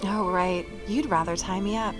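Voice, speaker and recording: A woman's voice: mid-range voice, steady tone, moderate pace, over music, close to an everyday voice. A woman playing a flirtatious, defiant, sly cat burglar, teasing and taunting in a scripted table read. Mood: seductive.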